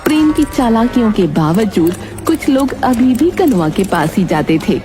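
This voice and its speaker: A woman's voice: a little high, sing-song, normal speed, dark tone. A woman narrating a scripted children's moral story. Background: background music.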